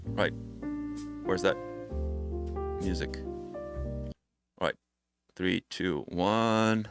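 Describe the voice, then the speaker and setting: A man from the Netherlands with a fairly low voice hosts a live stream in an easygoing, chatty way. He answers viewers' questions directly while electronic music plays loudly behind him. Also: very slow. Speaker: music production teacher hosting a demo stream. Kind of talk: casual live-stream chat. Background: electronic music playing.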